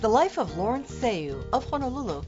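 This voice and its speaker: A woman hosting a television interview, who reads her introductions and asks questions in a clear, measured broadcaster manner. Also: on the high side, big pitch swings, lo-fi sound, music in the background.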